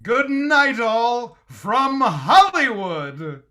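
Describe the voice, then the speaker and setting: Man as a bright, high-pitched radio announcer. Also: very animated, very slow, soft background music, heavily acted. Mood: upbeat. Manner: showy, projected. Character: polished, upbeat old-time radio network announcer.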